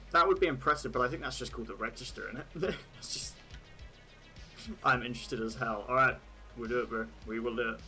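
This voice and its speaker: Young British male streamer, stray Australian vowels, bright tone, casual rambling chat. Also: mid-range voice, a little fast, pauses often, soft background music. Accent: British, with occasional Australian words. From Britain. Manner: chatty, laughs at his own jokes, thinks aloud with many "like"s. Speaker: livestream host.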